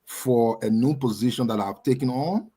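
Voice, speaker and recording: A man's voice: mid-range voice, moderate pace, mellow, slightly rough. A young adult man with a Liberian accent, co-hosting a casual live talk show and chatting with frequent laughs. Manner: talkative, laughs often. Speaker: co-host. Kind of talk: casual chat.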